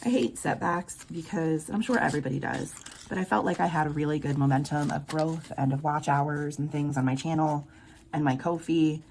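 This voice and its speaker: Scratchy, low-voiced woman. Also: fairly even intonation, moderate pace, vocal fry. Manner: quiet, murmured counting of bills. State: sore throat, scratchy voice. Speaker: budgeting vlogger. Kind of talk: casual cash-stuffing walkthrough.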